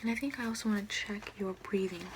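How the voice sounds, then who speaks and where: A young woman whispering breathily in an ASMR roleplay as a doctor, slow, careful and soothing. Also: medium pitch, very noisy. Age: young adult. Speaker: ASMR performer playing a doctor.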